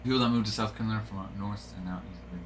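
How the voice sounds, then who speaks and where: A man's voice: lowish voice, fairly even intonation, racing, a little hiss or hum. A middle-aged man hosting a live card break, chatting casually and rambling to his viewers. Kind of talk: casual live-stream chat.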